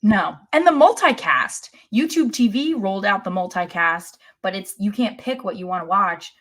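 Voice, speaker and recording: A woman's voice: medium pitch, expressive, moderate pace, fairly bright tone. An American woman co-hosting a sports podcast in casual chat, opinionated and wry, with emphatic turns.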